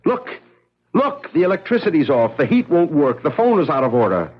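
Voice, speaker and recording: Anguished male scientist, vintage radio drama: suspicious, very animated pitch, dull recording. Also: fairly quick, breathy edge. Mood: desperate. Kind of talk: 1970s radio drama acting. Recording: dull, noisy 1970s radio broadcast.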